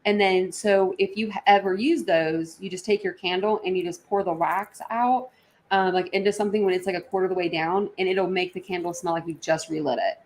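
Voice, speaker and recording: A woman's voice: on the low side, fairly even intonation, a little fast, darkish tone, a little hiss or hum. Chatty, rambling woman livestreamer. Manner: rambling, chatty, informal slang. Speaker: livestream host. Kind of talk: casual livestream chat with viewers. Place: indoors in an apartment.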